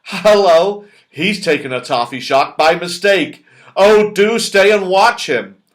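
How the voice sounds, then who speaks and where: Man putting on Moonface, a loud, high, jolly fellow. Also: animated, takes it slowly. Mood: excited. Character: Moonface, jolly, kindly big-faced magical man.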